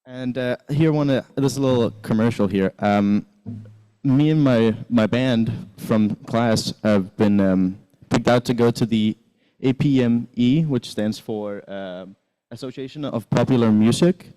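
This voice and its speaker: Teen guy from Sweden, chatty presenter, mellow dark voice, mid-pitched. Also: measured pace, smooth, soft background music, poor audio. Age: teens. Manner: chatty, rambling, many fillers and restarts. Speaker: exchange student presenter. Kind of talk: casual presentation with slides.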